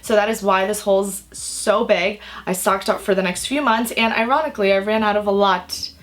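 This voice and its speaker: A young woman vlogging about makeup she bought, her voice raspy and hoarse from a cold, chatting in a bubbly, rambling way. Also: mid-range voice, fairly even intonation, moderate pace. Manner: bubbly, rambling, lots of "like" and "really, really". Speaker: beauty vlogger. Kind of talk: casual chatty haul video. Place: indoors under filming lights.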